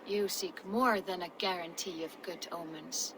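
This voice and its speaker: A woman's voice: lowish voice, fairly even intonation, noisy background. An actress playing a solemn, mystical female seer who speaks in riddles and prophecy in a game cutscene. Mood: cryptic. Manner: slow, cryptic, prophetic. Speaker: volva. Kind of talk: video game cutscene acting.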